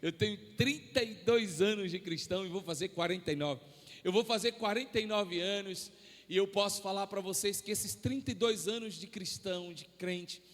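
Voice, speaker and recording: A Brazilian pastor in his forties, preaching a sermon to his church with impassioned, drawn-out emphatic phrases. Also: high-pitched. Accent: Brazilian Portuguese. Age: 40s. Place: church hall. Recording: long hall reverb.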